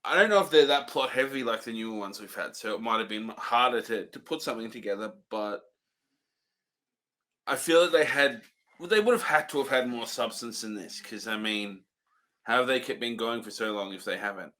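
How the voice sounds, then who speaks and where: An easygoing young man chats as a review co-host and laughs readily. When he reads trivia from his notes, he keeps his ordinary middle pitch. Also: a little fast, sharp tone. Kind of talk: casual review chat.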